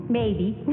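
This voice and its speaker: Very high, perky girl. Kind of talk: 1940s radio drama acting. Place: stage of a large hall. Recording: muffled, noisy live hall radio broadcast.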